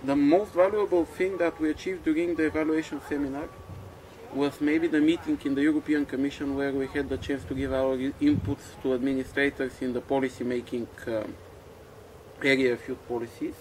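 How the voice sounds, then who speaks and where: A man from Bulgaria, a project coordinator, answering interview questions in a measured, reflective way. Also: medium pitch, fairly even intonation, darkish tone, a little hiss or hum, rough recording.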